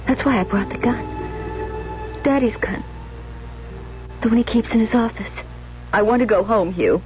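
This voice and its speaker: A woman's voice: a little high, moderate pace, faint music behind, close to an everyday voice. An actress playing a jealous, unstable young woman in a 1970s radio drama, intense and pleading, on a dull vintage recording. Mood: bitter, desolate. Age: young adult. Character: jealous, obsessive, unstable young bride-to-be turned killer.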